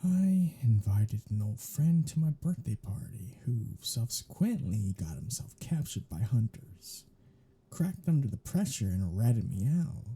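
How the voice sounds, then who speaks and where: A man playing a sardonic, teasing vampire in an intimate ASMR roleplay, with a husky, breathy voice and a sarcastic, mocking drawl. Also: mid-range voice, very animated, unhurried, warm tone, heavily acted. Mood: sardonic, resigned. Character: sardonic, teasing male vampire, grumbling and irreverent.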